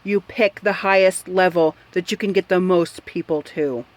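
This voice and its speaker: A woman's voice: fairly low pitch, fairly even intonation, moderate pace. A woman host giving opinion commentary in a blunt, exasperated and emphatic manner. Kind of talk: opinion commentary on a news article.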